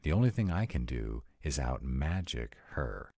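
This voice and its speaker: A man's voice: very deep voice, sing-song, moderate pace, mellow, dry recording, full character voice. A man voicing a sarcastic, exasperated vampire in a scripted roleplay audio, talking to his partner with dry, emphatic delivery. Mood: anxious, sardonic. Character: sarcastic male vampire, exasperated, anxious about in-laws.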